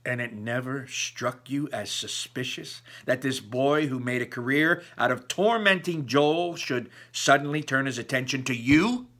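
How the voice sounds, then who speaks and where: A man's voice: medium pitch, measured pace, few pauses, fairly bright tone. A man voicing a stern, skeptical storybook father who challenges his teenage daughter.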